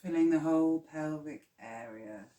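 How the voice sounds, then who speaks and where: A woman teaching yoga nidra, guiding relaxation in a calm, soothing, hushed way with long pauses. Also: sits low, roomy sound. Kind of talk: slow guided relaxation meditation.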